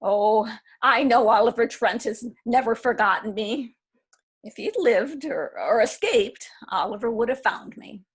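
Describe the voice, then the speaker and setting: Husky, somewhat high-voiced woman reading an aging romantic lady, wistful, for a stage reading. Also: expressive, a little slow. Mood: fervent. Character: aging woman pining for a lost love. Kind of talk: readers theatre reading.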